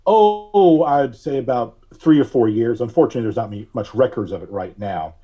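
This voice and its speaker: A man speaking as a podcast guest, recalling things casually in a halting, deliberate way with frequent long hesitations. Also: mid-range voice, animated, mellow, highs cut off. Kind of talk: casual interview recollection.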